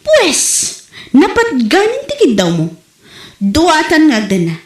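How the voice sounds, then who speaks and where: Woman acting a high-voiced, flirty Ilocano mistress. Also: huge pitch swings, a little slow, heavily acted. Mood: seductive. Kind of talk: Ilocano audio comedy drama acting. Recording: echoey room.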